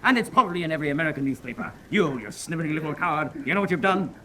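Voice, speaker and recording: A man plays a contemptuous German naval interrogator in a radio drama. He fires out clipped commands very quickly in a highish, relentless voice. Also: expressive, close to an everyday voice. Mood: scornful. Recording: faint background noise.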